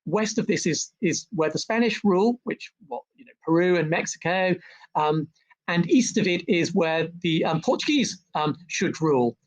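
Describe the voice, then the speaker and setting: A man's voice: a little high, lively intonation, moderate pace, rough recording. A man, a historian and author, talking as a guest in an interview, full of ums, restarts and repeated words. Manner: hesitant, many ums and restarts. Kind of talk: conversational interview about history.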